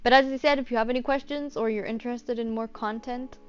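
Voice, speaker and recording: Woman w/ highish voice doing a chatty voice acting tutorial, kinda thinking out loud, bit muffled lofi mic. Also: fairly even intonation, fast, smooth, soft background music. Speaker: voice actor giving script-writing advice. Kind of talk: casual tutorial chat.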